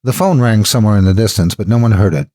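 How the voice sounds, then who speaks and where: A man's voice: fairly low pitch, quick pace, dark tone. A man narrating scripted horror stories dramatically as host, close to the microphone.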